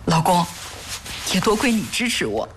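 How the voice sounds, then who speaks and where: An actress playing a caring, soft-hearted wife devoted to charity in a sentimental audio drama, speaking earnestly in a slightly husky voice. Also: female voice, fairly low pitch, big pitch swings, normal speed, a little hiss or hum. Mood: earnest, grateful.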